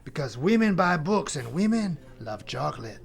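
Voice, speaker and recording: A man's voice: a little high, very animated, normal speed, vocal fry. A man playing Death as a brash, glib, freeloading cousin who rambles in bro talk in an audio comedy drama. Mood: smug, pitching.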